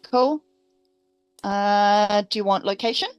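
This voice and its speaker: Aussie woman playing a tabletop rpg, slow n hesitant, dry close sound. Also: medium pitch, clear, resonant tone, no echo, lo-fi sound, soft background music. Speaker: player in a tabletop roleplaying game. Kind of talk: casual game-table chat.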